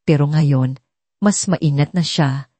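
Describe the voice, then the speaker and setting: A woman reading scripted dramatic story narration in an earnest, measured manner. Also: deep voice, dark tone, no room sound.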